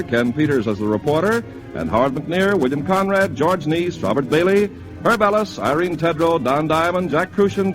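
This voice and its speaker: A male American announcer from 1950s radio, reading polished scripted copy. His voice sits a little high and moves up and down with lively emphasis. It is a vintage broadcast with music clearly present. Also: low-quality recording. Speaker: commercial announcer.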